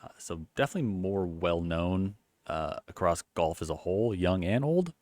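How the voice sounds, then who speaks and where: Low monotone man recapping golf. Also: normal speed, no echo. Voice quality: monotone, low-energy delivery. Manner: rambling, many fillers and restarts. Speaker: golf channel host. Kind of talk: casual commentary recap.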